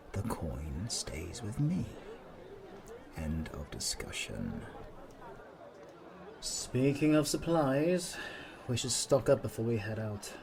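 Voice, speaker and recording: A man's voice: medium pitch, a little mellow. A British man playing a stern, aloof veteran demon hunter in a fantasy audio roleplay, speaking haughtily and formally to the listener. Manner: haughty, clipped, dryly formal.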